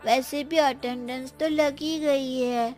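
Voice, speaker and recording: A woman playing a cheeky, wisecracking young schoolboy with a deadpan way of talking back, in a comic audio drama. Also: high-pitched, slow pace, soft background music.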